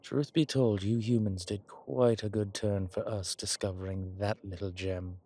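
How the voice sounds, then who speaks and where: A man acting a low, slow-spoken courtly vampire. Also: fairly even intonation. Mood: wry, confiding. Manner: genteel, formal, hesitant pauses, soft chuckles. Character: courtly old vampire man, grateful, suave, quietly amused. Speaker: character in a roleplay audio. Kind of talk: intimate one-sided roleplay audio drama.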